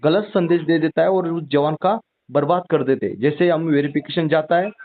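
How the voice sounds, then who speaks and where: A man's voice: fairly high pitch, normal speed. A man, speaking insistently and with grievance as he pleads his case at length in a phone conversation. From Maharashtra, India. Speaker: former soldier and campaigner for soldiers' rights. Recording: narrow tinny phone-call line.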